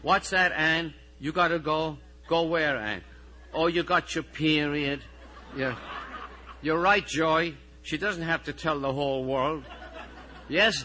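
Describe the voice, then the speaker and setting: A harried Irish schoolteacher played by a man reading his story aloud pushes his voice high and loud. He is exasperated and pleading, with a bright, forceful edge as he questions his pupils.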